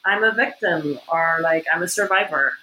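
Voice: medium pitch, moderate pace, sharp tone, slight background noise, poor audio. A speaker in casual conversation about spirituality, talking emphatically with laughs and frequent swearing.

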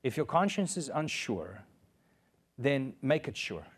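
A man's voice: mid-range voice, big pitch swings, measured pace, breathy edge. Man preaching. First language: Afrikaans. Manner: earnest, repeats key phrases for emphasis. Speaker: pastor. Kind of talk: sermon.

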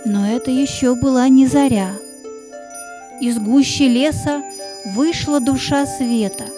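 A woman narrating a children's story in an expressive, suspenseful, dramatic scripted style. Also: high voice, measured pace, music in the background.

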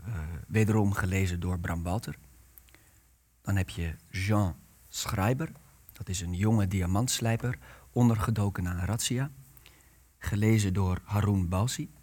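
A young man narrating a radio play, reading out the cast and stage directions in a measured, deliberate way. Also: fairly low pitch, a little mellow.

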